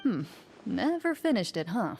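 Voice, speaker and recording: Composed, mellow-voiced stoic duelist. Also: female voice, mid-range voice, very animated, creaky, a little hiss or hum. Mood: calm resolve. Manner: composed, measured, firm. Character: stoic, principled female champion duelist and hunter. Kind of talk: video game story cutscene acting.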